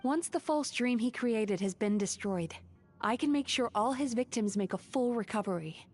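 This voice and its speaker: A woman playing a gentle, composed young psychologist and dream healer in a video game, speaking sincerely. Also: on the high side, lively intonation, normal speed, soft background music, lightly acted. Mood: grateful.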